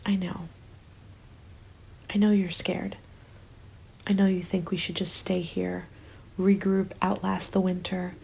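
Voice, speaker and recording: A woman playing a starving, bitter former nurse surviving a zombie apocalypse, in a soft, hushed ASMR roleplay that drifts into whispers. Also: fairly low pitch, flat delivery, slow, pauses often, exaggerated voice. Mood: urgent, regretful. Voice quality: creaky, soft. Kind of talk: ASMR dramatic roleplay monologue. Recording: music under the voice. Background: music.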